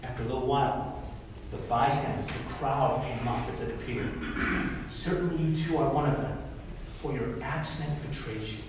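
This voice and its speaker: A man in his forties from Michigan, a pastor telling a sermon story in a lively way and acting out the voices of the people in it. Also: medium pitch, moderate pace. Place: church hall. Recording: echoey hall, voice from far off, faint noise.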